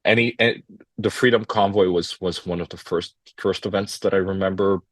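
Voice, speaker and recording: A young man from Montreal whose first language is French, speaking as a podcast guest in casual conversation, animated and rapid, with frequent fillers and restarts. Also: deep voice, dry recording. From Montreal, Canada.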